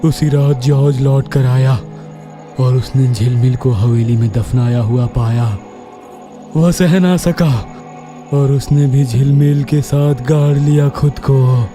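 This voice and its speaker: A man playing a drinking gang crony who gets possessed, in an animated horror story. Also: medium pitch, slow, over music, lightly acted. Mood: possessed, eerie.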